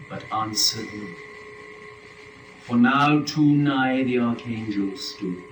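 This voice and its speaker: A man narrating epic verse as a dramatic reading, with a solemn, measured, declamatory delivery. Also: medium pitch, a bit bright. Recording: echoey room, faint noise.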